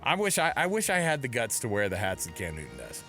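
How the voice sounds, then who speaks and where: A man's voice: mid-range voice, huge pitch swings, fast, bright, forceful tone, soft background music. An American man co-hosting a sports radio show, chatting casually and jokingly in colloquial style from a studio.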